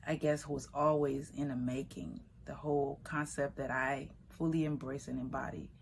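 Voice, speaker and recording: A middle-aged woman from Liberia telling stories about her grandmother in a relaxed, conversational way. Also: deep voice, slight background noise. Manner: relaxed, conversational, shifting pace, Liberian English phrasing. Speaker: vegan food business owner. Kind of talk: personal storytelling.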